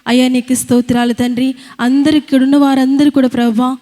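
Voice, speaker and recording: A woman leads an extempore prayer in short, repeated invocations. Her voice is fairly high and mellow, with a flat, chant-like evenness. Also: brisk. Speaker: prayer leader.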